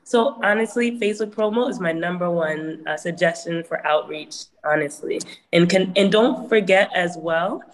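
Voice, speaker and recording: A woman's voice: on the low side, moderate pace, poor audio. A woman musician giving casual advice about promoting music, chatty and full of fillers like "you know".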